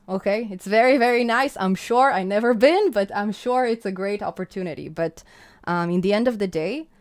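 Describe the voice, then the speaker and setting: A woman with a Hebrew accent hosts her own live stream in a loose, chatty way. She reads the chat as it scrolls by and answers her viewers with lots of uh's and restarts. She pours out thanks to them. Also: mid-range voice. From Israel. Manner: chatty and effusive, gushing with thanks, many fillers and restarts. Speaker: channel host.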